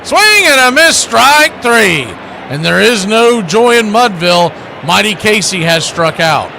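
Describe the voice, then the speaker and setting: A man's voice: high-pitched, very animated, unhurried, creaky, bright, forceful tone, a little hiss or hum. A man calling baseball play-by-play as a radio host, folksy and showy, with stock catchphrases and chatty asides to listeners.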